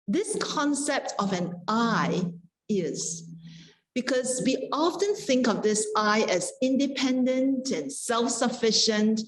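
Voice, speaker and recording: Slow, deliberate woman panelist. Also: on the high side, rough recording. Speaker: panelist, Buddhist scholar. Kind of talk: panel talk, explanatory.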